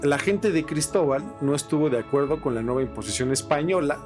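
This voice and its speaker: A man's voice: a little high, animated, a little fast. A Mexican man hosting a podcast, explaining things casually with slang and swearing. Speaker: podcast host. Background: music with guitar.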